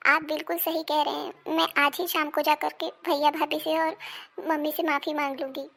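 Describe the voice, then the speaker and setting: A woman playing an emphatic, melodramatic married daughter-in-law in an animated family moral drama. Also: high-pitched, gravelly. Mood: remorseful. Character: indignant married woman, sharp-tongued wife and daughter-in-law.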